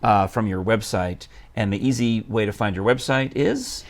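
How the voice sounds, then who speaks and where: A man's voice: on the low side, normal speed. A middle-aged man hosting a morning TV talk show, chatty and jokey, often laughing. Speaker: host. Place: TV studio desk. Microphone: lapel mic.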